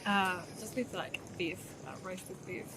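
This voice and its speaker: A woman's voice: fairly low pitch, fairly even intonation, unhurried. A woman from Ecuador, a tourist answering street interview questions, chatty and giggly. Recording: busy crowd noise behind.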